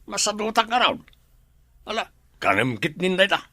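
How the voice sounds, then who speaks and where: A bright, forceful guard with a fairly high voice in a radio drama. He is curt and mocking, recorded close and dry. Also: big pitch swings, moderate pace, no echo, heavily acted. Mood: curt, taunting. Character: gruff, taunting guard of a captive. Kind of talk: Ilocano radio drama acting.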